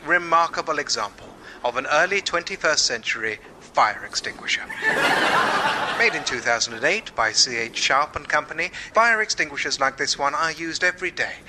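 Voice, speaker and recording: An actor playing a formal, measured museum audio guide in deadpan radio comedy. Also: male voice, a little high, background noise, lightly acted. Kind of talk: scripted audio-tour narration in radio comedy.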